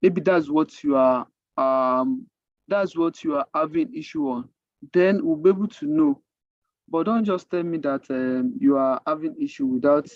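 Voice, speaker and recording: A man with a Nigerian accent and Yoruba as his native language, mentoring a class in an informal, halting way, repeating himself and asking rhetorical questions. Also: fairly high pitch, animated, no echo, highs cut off. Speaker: coding mentor. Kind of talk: informal online teaching.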